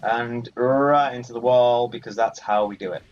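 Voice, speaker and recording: A man's voice: mid-range voice, fairly even intonation, moderate pace. Guy doing chill rambling game commentary, bit muffled, music going behind him. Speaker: let's play host. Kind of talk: casual gameplay commentary. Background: video game music.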